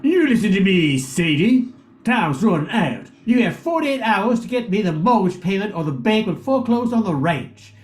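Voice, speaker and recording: A man's voice: high-pitched, big pitch swings, moderate pace, echoey room. A man playing a pompous, gloating banker villain in an old-time radio play. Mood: menacing. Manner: pompous, menacing, theatrical.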